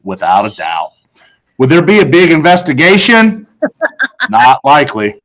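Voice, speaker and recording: A man reading a children's story as expressive scripted narration, dramatic and with deliberate pauses. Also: fairly high pitch, low-quality recording. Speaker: audiobook narrator.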